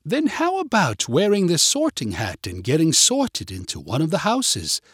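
A man's voice: on the high side, huge pitch swings, moderate pace, fairly bright tone, husky, no echo, clean studio sound, voice pushed up, full character voice. A man voicing an earnest young woman who talks like a prim British schoolteacher in a storybook. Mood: eager, welcoming. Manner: prim, lecturing, sweet.

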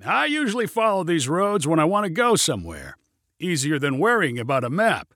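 A man voicing a reckless, cheerful pilot who shouts in a folksy, breezily confident way for an audiobook story. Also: on the high side, big pitch swings, a little fast, no echo, studio quality. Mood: breezy, excited.

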